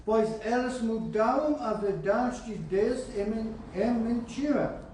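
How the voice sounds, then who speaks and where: A pastor preaching a slow, deliberate sermon in Portuguese with a foreign accent, pausing and repeating words. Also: male voice, very high voice, warm tone, a little hiss or hum. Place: church hall. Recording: echoey church hall from far off.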